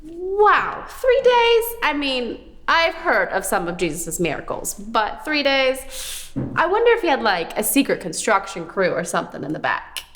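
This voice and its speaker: A woman's voice: high-pitched, very animated, unhurried, a bit bright. A woman hosting a scripted children's puppet show, speaking expressively with exaggerated wonder for young viewers.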